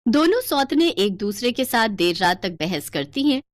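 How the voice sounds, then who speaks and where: A woman narrates a scripted cartoon story. Her high voice moves with a lot of melody and drama, and the recording is close and dry. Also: sing-song, moderate pace, no room sound.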